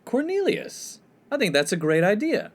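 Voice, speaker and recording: A man's voice: high-pitched, wildly swooping pitch, moderate pace, full character voice. An actor playing an earnest, prim and exasperated young college freshman in a comedy radio play. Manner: earnest, polite, pedantic.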